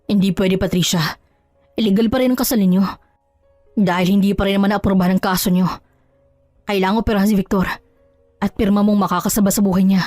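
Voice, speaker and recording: A woman voicing an earnest, forgiving male friend who gently persuades in an audio drama. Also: mid-range voice, fairly even intonation, fast talker, pauses often, no room sound, faint music behind, natural voice. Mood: urgent. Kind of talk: Tagalog audio drama acting.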